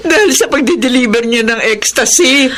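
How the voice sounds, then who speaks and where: A woman's voice: high-pitched, sing-song, unhurried, fairly bright tone, exaggerated voice. A woman playing a meddling, emotional neighbour, a wife and mother, in a melodramatic radio drama style. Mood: distraught, remorseful.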